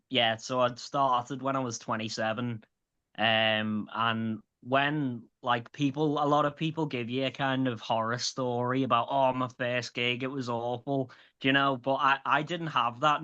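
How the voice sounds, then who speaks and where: A man's voice: medium pitch, sharp tone, lo-fi sound. A man in his late twenties with a light Scouse accent, a stand-up comedian chatting as a guest, swearing and restarting often. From grew up in Liverpool. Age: late 20s. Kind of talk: casual interview chat.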